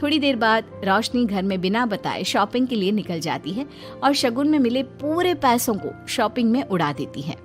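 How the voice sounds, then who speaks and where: A woman narrating a scripted family story in an expressive storytelling manner. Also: on the high side, normal speed, faint music behind.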